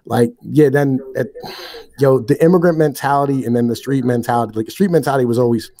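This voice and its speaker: A man in his mid-thirties with a mellow, relaxed voice speaks bluntly and swears freely. He leans on street slang and keeps tagging lines with "you know what I mean." He sounds like a guest on a casual talk show. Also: medium pitch, fast talker. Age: 30s. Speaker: podcast guest. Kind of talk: casual interview chat.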